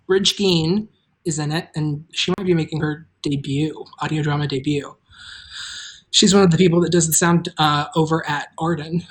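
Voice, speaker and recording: A host of an audio drama chatting casually and informally on a livestream, rambling a little from thought to thought. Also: mid-range voice.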